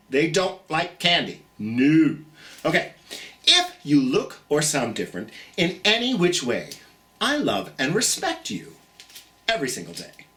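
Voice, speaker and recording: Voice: medium pitch, a bit bright, far from the mic. A children's storytime host with a theatrical, singsong manner, speaking encouragingly and directly to young listeners.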